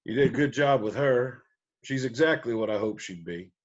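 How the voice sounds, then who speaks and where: A man in a screenplay table read playing a remorseful absent father and former drunk, speaking haltingly and contritely. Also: medium pitch, lo-fi sound, natural voice. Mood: humble, wry.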